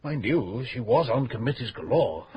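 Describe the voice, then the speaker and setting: A man's voice: mid-range voice, animated, moderate pace, lo-fi sound, slight background noise, lightly acted. An actor playing a fussy, evasive widowed middle-aged man in a radio drama, hesitant and full of filler sounds. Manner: hesitant, full of uhs, flustered. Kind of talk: 1970s-80s radio drama acting.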